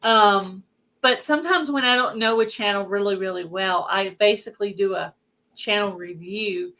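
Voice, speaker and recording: A woman's voice: medium pitch, moderate pace, bright, forceful tone. A woman hosting a casual livestream chat, talking in a chatty way with lots of filler words. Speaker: host.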